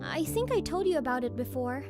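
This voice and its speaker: A woman voicing a shy, gentle teenage girl in an anime-style dub, speaking softly and hesitantly. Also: high-pitched, very animated, a little fast. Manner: soft, hesitant. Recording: music bed behind voice.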